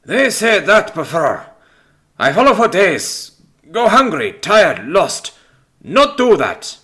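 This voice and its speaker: A man voicing a wary, haunted military officer with a croaky voice and halting, broken English in a Greek accent. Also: mid-range voice, sing-song, bright, forceful tone, full character voice. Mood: bitter, distrustful. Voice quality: croaky from disuse. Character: wary, haunted stranded male major, gruff and suspicious.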